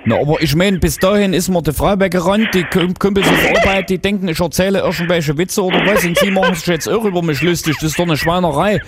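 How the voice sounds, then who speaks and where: A man's voice: sits high, fast, hardly any pauses, very noisy. A man with a Saxon German accent making playful radio prank calls, talking in a mock-naive, persistent, rambling way. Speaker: radio prank caller and host.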